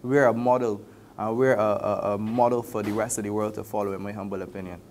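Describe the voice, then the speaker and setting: Lowish-voiced Barbadian man over background noise. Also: steady tone, fast talker, a little mellow. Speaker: interviewee. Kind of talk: conversational documentary interview.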